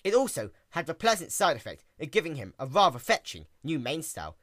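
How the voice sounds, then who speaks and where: A man's voice: a little high, sing-song, a little fast, a bit bright, no room sound, studio quality. A man giving a dramatic story reading with a theatrical, wry and emphatic delivery. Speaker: story narrator.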